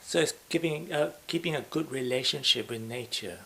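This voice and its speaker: A man's voice: mid-range voice, moderate pace, a bit bright, rough recording. A man interviewing, asking short leading questions. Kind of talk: oral history interview. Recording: faint hiss.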